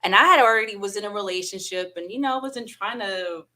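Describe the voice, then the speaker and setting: A woman guest telling the story of meeting her husband in a relaxed, chatty way, laughing often. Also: medium pitch, quick pace. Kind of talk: casual chat.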